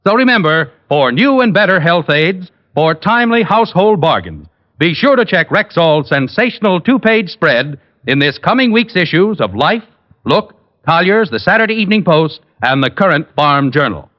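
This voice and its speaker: High-pitched vintage radio announcer man. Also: big pitch swings, normal speed. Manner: polished, emphatic salesmanship. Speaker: radio announcer and sponsor spokesman. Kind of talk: 1940s radio announcing, scripted ad read. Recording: muffled vintage radio, music behind.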